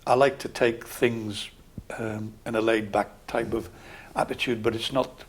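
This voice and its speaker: Calm older man with a Geordie accent. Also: on the low side, husky, rough recording. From Scotland, raised in Newcastle area. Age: 60s. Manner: measured, matter-of-fact, calm. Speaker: local councillor, interview guest. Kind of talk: calm interview answers.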